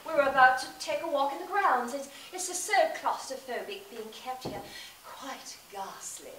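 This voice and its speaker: A woman's voice: sits high, lively intonation, normal speed, a bit bright, slight background noise. A woman playing a genteel young heiress with a well-bred, dramatic manner, recorded far from the mic in an echoey room.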